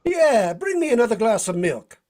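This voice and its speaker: A man's voice: very high-pitched, big pitch swings, normal speed, darkish tone. A man acting a brash, ornery, teasing adventurer in an old-time radio drama, with an American accent and folksy drawling slang. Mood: demanding.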